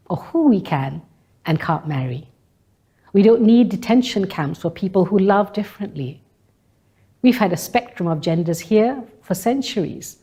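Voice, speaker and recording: A woman speaks in a slightly low, dark and relaxed voice. She delivers a prepared spoken-word piece. Her phrasing is deliberate and measured, built on rhetorical repetition. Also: husky. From Malaysia. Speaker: speaker delivering a personal monologue. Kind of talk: scripted spoken-word monologue.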